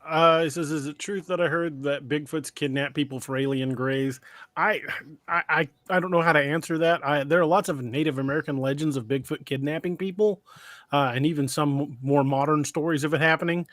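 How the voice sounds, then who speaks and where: A man's voice: on the high side, steady tone, a little fast, fairly bright tone, dry recording. A man hosting a casual podcast, fielding listener questions hesitantly as he weighs his answers. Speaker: podcast host.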